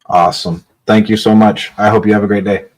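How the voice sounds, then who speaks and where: A man's voice: lowish voice, little pitch movement, a little fast, a little mellow. A man from California, a real estate investor, chatting casually on a phone call.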